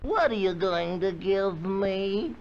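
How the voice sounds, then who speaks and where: Slow, wary cartoon gatekeeper. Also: male voice, high voice, lively intonation, faint music behind, full character voice. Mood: hesitant. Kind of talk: 1930s cartoon voice acting. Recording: muffled, faintly noisy old cartoon soundtrack.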